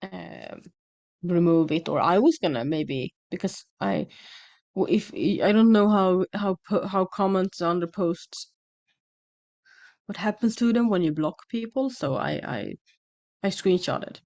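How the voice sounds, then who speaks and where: Swedish-accented woman, low voice, hesitant. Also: measured pace, darkish tone, lo-fi sound. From Sweden. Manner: hesitant, many fillers and restarts. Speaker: channel host. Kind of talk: casual monologue to camera.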